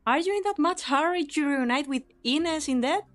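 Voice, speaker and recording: A woman's voice: sits high, animated, moderate pace. A woman playing a cynical, sly young mercenary leader in an anime game fan dub, with a sardonic, taunting delivery. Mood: mocking, incredulous.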